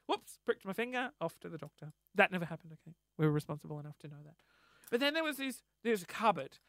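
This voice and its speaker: A man with an Australian accent, hoarse from losing his voice, telling a rambling, sweary, self-mocking story on his solo podcast. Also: sits high, very animated, fast, a bit bright, no room sound, clean studio sound. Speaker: podcast host.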